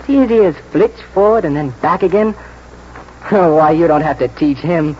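An actor playing a keen, plain-spoken young English stable lad in a 1940s radio drama, halting and colloquial. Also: male voice, fairly high pitch, lightly acted. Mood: excited, admiring. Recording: muffled, noisy 1949 radio broadcast.

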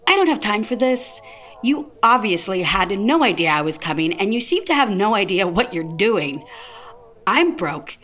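A woman's voice: mid-range voice, big pitch swings, moderate pace, fairly bright tone. A woman playing a weary, blunt job applicant in a naturalistic audio drama, wary and candid. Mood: exasperated.

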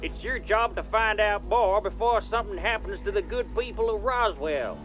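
Very high voice, folksy American townsman, hammy, panicky, theme park attraction, faint music. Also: normal speed, fairly bright tone, low-quality recording. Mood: urgent, conspiratorial.

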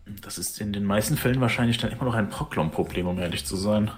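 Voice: sits low, fast, faint music behind. A player in a tabletop roleplaying session who makes dry, wry remarks. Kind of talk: casual tabletop roleplay chat.

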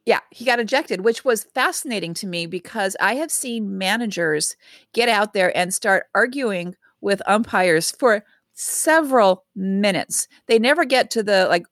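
A woman co-hosts a podcast and tells stories in a relaxed, chatty way, tossing in wry asides. She speaks at a middle pitch, and the sound is dry and close to the mic. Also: a little slow, no echo. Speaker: podcast co-host. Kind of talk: casual podcast chat.

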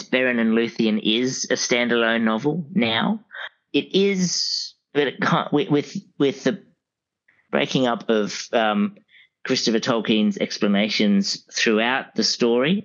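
Low-voiced man in casual discussion, dull audio. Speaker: guest audiobook expert.

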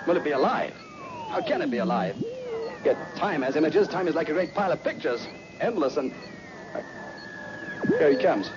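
An actor playing a lone cosmonaut losing his mind in terror, halting and stammering, in 1960s radio horror style. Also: on the high side, sing-song, background noise. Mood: desolate dread. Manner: halting, stammering, repeating himself. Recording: old muffled radio recording, music behind.

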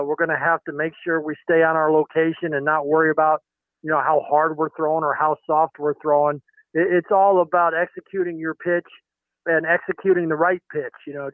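A man's voice: a little high, dry recording. Man on a tinny phone line. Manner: halting, many fillers and restarts. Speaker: pitching coach. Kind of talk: phone interview.

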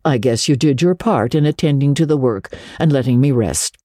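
A woman's voice: rumbling low, moderate pace, warm tone, no room sound, voice pushed down. A woman voicing a stern, practical older woman with a dry, sarcastic, no-nonsense manner in a dramatic storybook reading. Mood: dry sarcasm. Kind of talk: dramatic audiobook reading of classic children's literature.

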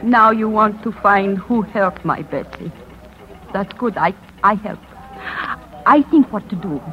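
A woman's voice: medium pitch, lightly acted. Woman acting a humble older immigrant mother, dull recording. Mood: proud, resolute. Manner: halting, plain, broken English. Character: humble, brave older immigrant mother, grieving but resolute. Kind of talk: 1950s radio drama acting. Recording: dull, faintly noisy vintage radio recording.

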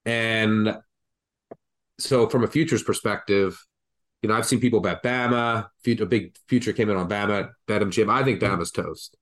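Brief: congested male podcast co-host, lowish voice, rambling betting chat with restarts. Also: fairly even intonation, moderate pace. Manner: rambling, thinks aloud with restarts. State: congested from the flu. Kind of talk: casual betting analysis chat.

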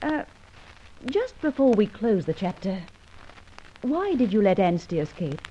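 A woman's voice: mid-range voice, big pitch swings, measured pace, mellow. An actress playing an earnest, inquisitive young lady in a vintage radio serial, speaking politely and questioningly. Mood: curious, puzzled. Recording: dull, faintly hissy old radio recording.

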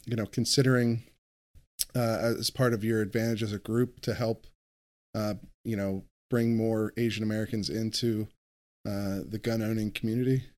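A man's voice: on the low side, level tone, normal speed, a little mellow. A man hosting a podcast, asking guests questions with plenty of fillers. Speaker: podcast host. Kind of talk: interviewing guests.

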